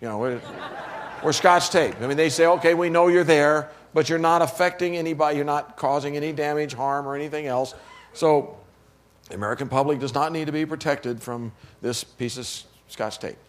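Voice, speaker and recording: A man's voice: on the high side, brisk, slight background noise. A man hosting a company meeting, joking and coaxing the audience before introducing a panel. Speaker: company presenter. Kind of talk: sales meeting talk.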